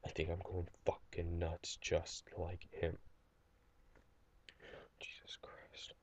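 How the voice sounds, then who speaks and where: A young man playing a traumatized survivor recording an audio log, halting and distraught, stammering and repeating himself in an amateur audio drama. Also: sits low, completely flat delivery, vocal fry, whispered, exaggerated voice. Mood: angry, despairing.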